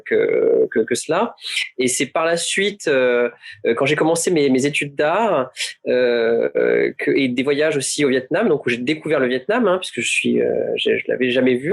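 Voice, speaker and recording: A man from France speaks in a halting, reflective way, pausing often and restarting his sentences. His voice sits at an ordinary middle pitch for a man, and he talks as an author and illustrator in a panel discussion. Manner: hesitant, frequent fillers and restarts. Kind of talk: panel conversation.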